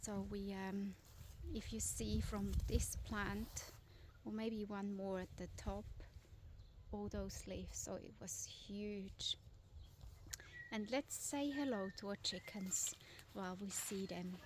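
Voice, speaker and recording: A woman's voice: medium pitch, fairly even intonation, slow pace, background noise. Swiss-accented middle-aged woman, non-native English, casual garden vlog, chatty with fillers, recorded outdoors with faint ambience. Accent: Swiss German. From Switzerland. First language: Swiss German. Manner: chatty, informal, with frequent fillers and restarts. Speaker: vlogger, lifestyle property owner.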